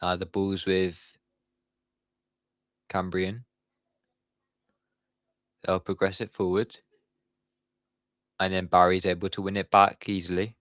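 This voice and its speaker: A man's voice: low voice, level tone, takes it slowly, long pauses, fairly bright tone, dry recording. A young man giving live football commentary on his stream, chatty and talking to his viewers in the chat. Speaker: watchalong streamer.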